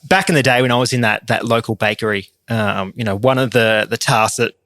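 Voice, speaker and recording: Australian man, mid-pitched, understated podcast guest in casual chat, dry recording. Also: brisk, fairly bright tone, no room sound. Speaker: podcast guest, competition barista.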